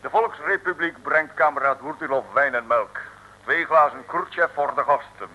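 A man's voice: fairly high pitch, normal speed, bright, forceful tone, highs cut off. An actor playing a grandiose waiter in a comic radio serial, announcing his wares with great ceremony. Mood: ceremonious.

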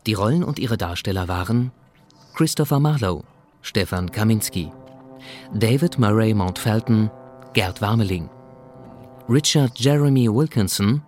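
A man's voice: on the low side, expressive, unhurried, pauses often, darkish tone. A man reading radio play credits as a scripted announcement. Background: faint music.

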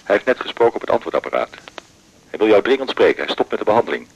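Male medical colleague, fast and husky, concerned, telephone voice in a naturalistic radio play. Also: on the low side, very animated, muffled recording, heavily acted. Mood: urgent, businesslike. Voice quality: gravelly, husky. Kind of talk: naturalistic 1980s radio drama acting.

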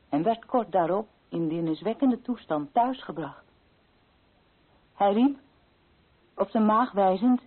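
Husky, grieving colonial widow. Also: female voice, medium pitch. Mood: distressed, grieving. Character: grieving, fearful, watchful widow of a colonial official. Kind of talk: radio play acting. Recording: thin, phone-like old radio recording.

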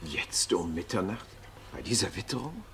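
Low-voiced haughty royal duke. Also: male voice, big pitch swings, normal speed, gravelly, bright, forceful tone, slight background noise, exaggerated voice. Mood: lordly, impatient. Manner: aristocratic, drawling, condescending. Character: haughty, sceptical royal duke, condescending and ironic. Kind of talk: 1950s German radio play acting.

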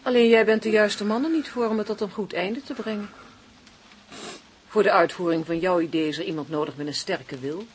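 An actress playing a proud, dominant, strong-willed woman in a Dutch radio play, speaking in a measured, deliberate way. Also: medium pitch, lightly acted. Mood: cool contempt. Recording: noisy old radio play recording.

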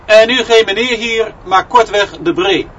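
A man's voice: high-pitched, measured pace, a bit bright. A man acting as an opinionated, pedantic schoolteacher in a radio drama, lecturing in a declamatory way. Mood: brisk. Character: opinionated, pedantic male schoolteacher leading pupils. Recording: dull recording with music behind.

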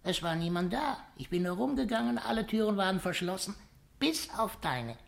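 A woman's voice: mid-range voice, expressive, moderate pace, creaky. A woman playing a tiny, bitter, fearless young circus dwarf in a 1950s German radio play, blunt and darkly matter-of-fact. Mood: dry, mocking.

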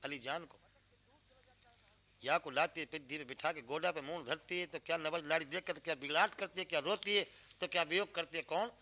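A man's voice: fairly high pitch, a little fast, pushed and bright. A man performing a folk tale as a singer-storyteller, moving between spoken narration and sung verse and posing questions that he answers himself. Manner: asks rhetorical questions and answers them. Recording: cassette field recording with instrumental music.

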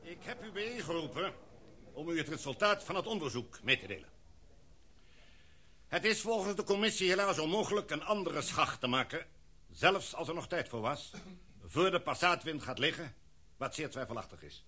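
A man's voice: a little high, animated, normal speed, bright, forceful tone, slightly rough, heavily acted. A man playing a commanding expedition chief. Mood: measured. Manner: formal, speech-like address to a meeting. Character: commanding male expedition leader, formal and decisive. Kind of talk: radio play acting.

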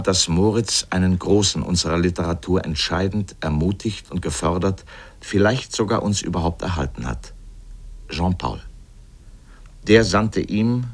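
A man playing an erudite, sardonic narrator of a scripted literary radio essay, speaking deliberately and pointedly. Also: deep voice, heavily acted. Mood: measured, reflective.

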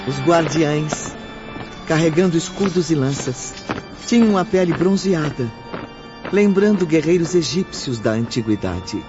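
A man giving measured, solemn scripted narration for a radio drama. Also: a little high, expressive, dull audio, over music.

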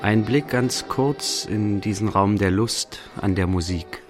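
A man's voice: on the low side, takes it slowly, music in the background. A man acting as the narrator of a scripted radio play, observing an art-world party. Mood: observational.

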